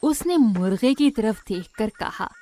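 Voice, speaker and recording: A woman's voice: fairly high pitch, animated, unhurried, mellow. A woman narrating a scripted moral story for children.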